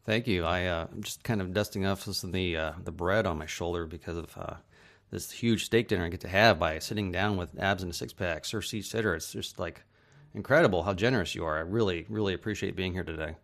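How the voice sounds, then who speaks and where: Deep-voiced, eager man guesting on a podcast. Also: a little fast, gravelly, a bit bright. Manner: enthusiastic, heavy use of "like" and "uh". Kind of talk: casual podcast chat.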